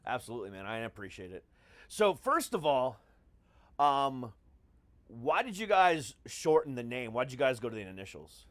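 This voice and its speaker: A man's voice: medium pitch, sing-song, normal speed, no room sound. A middle-aged American man hosting a podcast in casual, profane talk, with a brash, mock-grandiose showman's manner. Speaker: podcast host.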